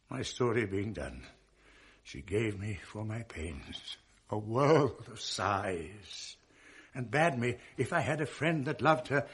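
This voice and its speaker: Proud general, slow sweeping shakespeare verse, voice swinging up and down a lot. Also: male voice, fairly low pitch, fairly bright tone, heavily acted. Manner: sweeping, declamatory verse delivery. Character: noble middle-aged male general, proud and eloquent. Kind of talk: 1980s radio drama acting.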